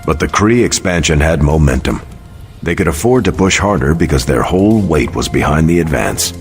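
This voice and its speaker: An actor playing an earnest, thoughtful veteran soldier superhero in a video game, speaking in measured declarations. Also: male voice, rumbling low, lively intonation, faint music behind. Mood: earnest, eager.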